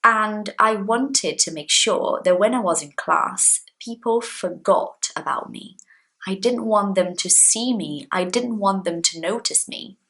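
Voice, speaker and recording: Chatty young woman. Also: medium pitch. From Brazil. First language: Portuguese. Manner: chatty, expressive, gestures with hands. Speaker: English teacher. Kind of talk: casual talk to camera. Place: small indoor room at home.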